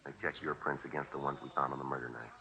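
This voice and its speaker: A man playing a stern, laconic police detective, blunt and deadpan in 1950s radio drama. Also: rumbling low, fast, heavily acted. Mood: stern, curt. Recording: noisy vintage 1950s radio recording.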